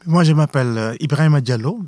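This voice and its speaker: A man, a professor speaking in a measured, formal way in an interview. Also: fairly high pitch, dark tone, no room sound, studio quality. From Senegal. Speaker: guest, university professor. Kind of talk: conversational interview.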